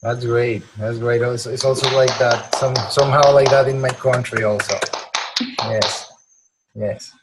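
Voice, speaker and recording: A man moderating an informal online meeting, halting and often repeating his words. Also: medium pitch, steady tone, low-quality recording. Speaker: meeting topic master, moderator. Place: online video call. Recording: noticeable background noise, clicks.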